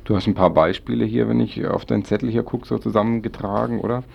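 Gravelly, even-toned male interviewer. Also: fairly low pitch, fast talker. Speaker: radio interviewer. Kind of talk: casual spoken interview. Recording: slightly dull, lo-fi sound.

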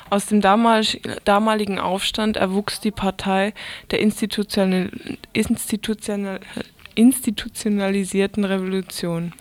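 A woman reads radio news from a script. Her voice sits at a middle pitch and moves very little, giving an even, level delivery.

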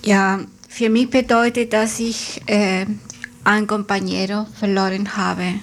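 Woman w being interviewed, flat and slow, searching for words, bit noisy old tape. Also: mid-range voice. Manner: halting, searching for words in non-native grammar. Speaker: interview guest. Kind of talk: personal recollection in interview. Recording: clearly noisy old radio recording.

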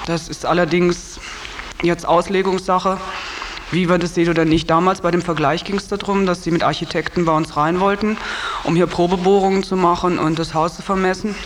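A man's voice: high-pitched, steady tone, brisk. A man giving a matter-of-fact explanation in an unscripted radio interview. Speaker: interviewee, house project resident. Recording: faintly noisy interview recording.

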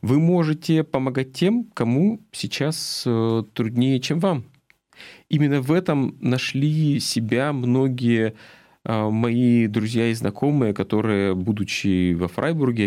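Man from Russia giving halting political commentary. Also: mid-range voice, darkish tone. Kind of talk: spoken political commentary, semi-prepared talk.

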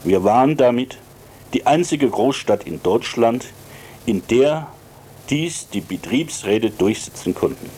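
Slow, animated man with a mellow voice. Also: medium pitch, slight background noise. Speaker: works council member and radio contributor. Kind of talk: prepared radio commentary.

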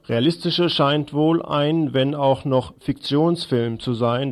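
Guy reading radio news n commentary, gravelly creaky voice, kinda sardonic and deliberate. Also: mid-range voice, darkish tone.

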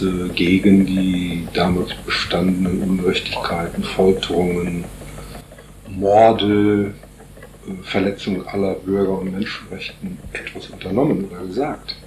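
A man with a very low voice explains political matters in long, winding sentences, often stopping on filler sounds. The recording is roomy, with a faint hiss behind him. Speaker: interviewee on Paraguay politics. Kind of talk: radio interview answers.

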